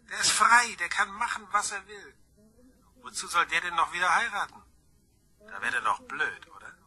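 An actor playing a sly, taunting anonymous blackmailer in a crime radio drama, insinuating and mock-sweet. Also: male voice, on the high side, sharp tone, breathy edge, exaggerated voice.

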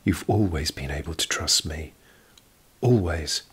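Man voicing an earnest, pleading lovestruck youth, husky. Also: rumbling low, measured pace, fairly bright tone, full character voice.

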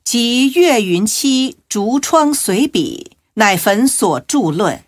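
A female narrator recites classical religious text very slowly, at a middle pitch with lively intonation. Her delivery is solemn, measured and expressive, recorded close and dry. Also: no room sound.

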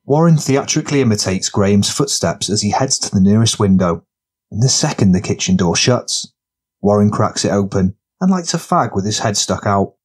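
A man with a fairly low voice narrates fiction. It is scripted audiobook storytelling, read as the narrator. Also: expressive, normal speed, breathy edge.

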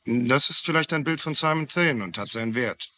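Man acting a surly, sharp-voiced suspect. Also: mid-range voice, moderate pace, slight background noise, close to an everyday voice. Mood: defiant. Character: surly, defiant working man under arrest. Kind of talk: German-dubbed TV detective drama acting.

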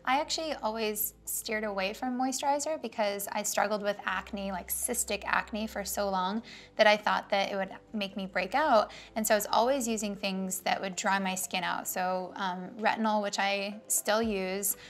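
A woman in her thirties, co-hosting a casual vlog chat, her voice slightly raspy from an early start, chatty and giggly. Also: medium pitch, steady tone, moderate pace. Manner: chatty, giggly, self-mocking. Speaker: fitness vlog co-host. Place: bright studio set, seated on a couch.

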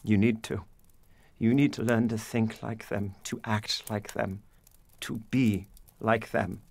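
An actor playing an anguished, guilt-ridden, stern father in a period audio drama, speaking gravely and deliberately. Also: fairly low pitch, steady tone, full character voice. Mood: stern, insistent.

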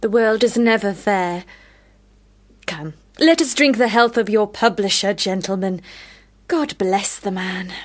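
A woman's voice: mid-range voice, measured pace, lightly acted. A woman playing a commanding, teasing eldest sister in a period radio drama, speaking in a formal, theatrical way. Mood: firm, secretive.

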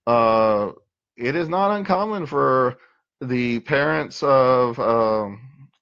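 A middle-aged man, a therapist, speaks unhurriedly into a webcam in a small dim room, trailing off with fillers and slipping in deadpan jokes about himself. The audio is dull and lo-fi, like a built-in laptop microphone. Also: medium pitch, gravelly. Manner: slow, rambling, dry self-mocking humor. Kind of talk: unscripted talk to webcam. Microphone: built-in webcam mic.

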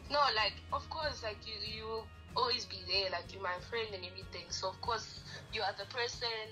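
A young woman in a casual phone conversation, heard through a phone speaker, hedging but gently firm. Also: medium pitch, fairly quick, faint music behind, poor audio. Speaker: caller on the other end of a phone call. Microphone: phone speaker picked up by camera.

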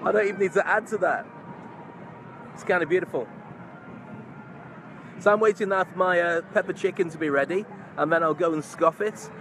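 A man's voice: sits high, brisk, long pauses, a bit bright. A middle-aged man chatting casually and effusively to his followers in a live vlog, speaking into a phone held at arm's length. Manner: effusive, chummy. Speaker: vlogger, entrepreneur and stage hypnotist. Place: large open park outdoors among crowds. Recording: noisy outdoor night crowd ambience.

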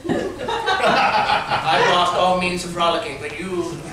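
A man's voice: high-pitched, lively intonation, extremely slow. A man improvising a comedy scene on a small stage, chatty and laughing, acting mock-perturbed. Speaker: improv performer. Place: small theater stage with audience. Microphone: far room mic. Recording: echoey room from far off, some noise.

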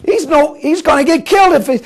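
Man playing an alarmed high-pitched train engineer. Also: brisk. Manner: urgent, exclaiming. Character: alarmed, indignant train engineer, shaken and scolding.

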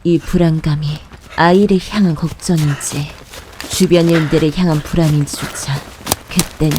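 A woman playing a brooding witch, narrating a dark fairy tale in a measured, ominous, matter-of-fact manner. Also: sits low, steady tone, a little hiss or hum, lightly acted. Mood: regretful. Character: brooding witch who raises a child in hiding. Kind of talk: scripted first-person horror fairy-tale narration.

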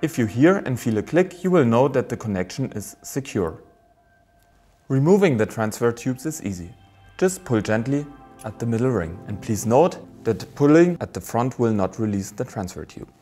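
A man in his thirties giving a scripted, formal, step-by-step instructional presentation of a product in a measured way. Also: medium pitch, sing-song, mellow, soft background music. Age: 30s.

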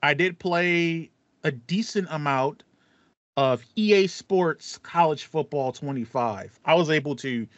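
A man's voice: fairly high pitch, animated, unhurried, dry recording. An American man in his thirties chatting casually about games as a podcast co-host. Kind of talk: casual gaming chat. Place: small home room with acoustic foam. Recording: slightly dull, band-limited, dry sound.

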